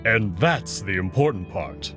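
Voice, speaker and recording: A man voicing a large, gentle alien wolf who is soon to be emperor, unhurried and reassuring, in a visual novel. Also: on the low side, lively intonation, voice pushed down. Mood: subdued, reassuring. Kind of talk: visual novel character dialogue. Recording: music behind the voice.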